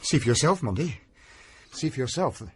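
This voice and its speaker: An actor playing a blunt, impatient gentleman who turns nervous and stammers, in a radio drama. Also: mid-range voice, animated, normal speed, slight background noise, lightly acted.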